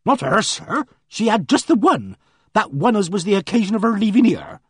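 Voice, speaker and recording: Man putting on a fussy middle-aged charwoman, breathless rambling, high voice, swooping pitch. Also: big pitch swings, moderate pace, breathy edge, dry recording, clean studio sound, exaggerated voice. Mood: indignant, rambling. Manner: breathless, rambling country speech.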